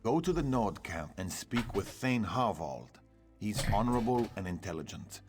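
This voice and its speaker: A man playing a measured, pragmatic military commander in a video game, with an assured delivery. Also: fairly low pitch, very animated, music in the background. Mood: serious, assured. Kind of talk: video game character acting.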